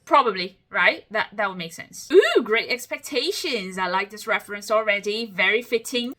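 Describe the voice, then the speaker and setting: A woman's voice: medium pitch, expressive, normal speed, pushed and bright. A woman hosting a reaction video, chatting casually, breaking down what she hears and often laughing. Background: faint musical soundtrack playing.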